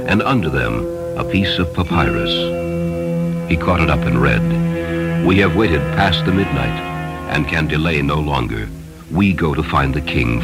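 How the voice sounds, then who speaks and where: A male narrator with a very low voice reads a literary tale. His delivery is formal and solemn, in the manner of scripted storytelling, and music plays clearly behind him.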